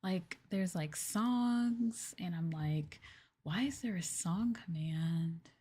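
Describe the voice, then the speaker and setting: A woman speaks softly and slowly into a close microphone, her voice breathy and often slipping into a whisper. She chats in a gentle, playful, casual way, as an ASMR streamer does. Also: on the low side. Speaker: ASMR livestream host. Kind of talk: soft-spoken ASMR casual chat with viewers.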